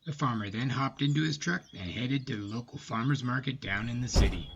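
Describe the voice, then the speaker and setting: A man's voice: medium pitch, a little fast, very noisy. A man hosting a podcast and telling a scripted story in a casual narrator's style. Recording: slightly muffled, music behind. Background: music.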